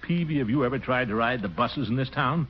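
An actor playing a pompous, blustering middle-aged bachelor in a 1940s radio sitcom, vain about his singing and quick to take offense. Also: slightly rough, natural voice. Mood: wheedling. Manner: blustery, indignant, with big swoops in pitch. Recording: dull old radio broadcast with faint hiss.

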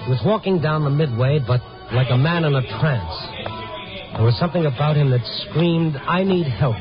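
A man's voice: on the high side, moderate pace, close to an everyday voice. An American man playing a wry, curious, world-weary newspaper reporter, narrating and acting in a 1950s radio drama. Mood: tense narration. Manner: wry, hardboiled storytelling. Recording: muffled old radio recording, dramatic music behind.

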